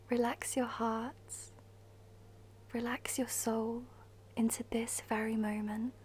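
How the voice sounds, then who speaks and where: Female meditation guide: mellow, breathy half-whisper, even pitch, soothing scripted delivery with long pauses. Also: a little hiss or hum. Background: music.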